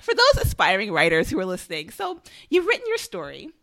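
A woman's voice: medium pitch, wildly swooping pitch, brisk, fairly bright tone. A woman hosting an interview, talking in a thoughtful, hesitant way with many fillers. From Sierra Leonean and Ghanaian family. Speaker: podcast host. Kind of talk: conversational interviewing.

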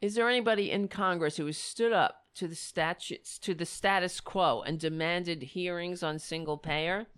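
A woman hosting a political talk show, speaking with fiery, rallying conviction and frequent restarts, her voice going hoarse. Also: lowish voice, moderate pace, few pauses, fairly bright tone. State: losing her voice. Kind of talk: impassioned political commentary.

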